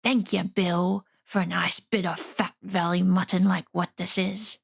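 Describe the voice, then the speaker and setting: A woman voicing a rough, dim, hungry male troll with drawling rustic speech in a storybook reading. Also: on the low side, little pitch movement, measured pace, heavily acted. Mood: satisfied.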